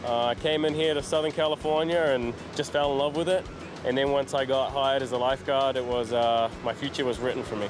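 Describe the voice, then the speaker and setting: A man from New Zealand, a lifeguard sergeant, calmly explaining his work in a documentary interview. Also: mid-range voice, a little fast, music in the background.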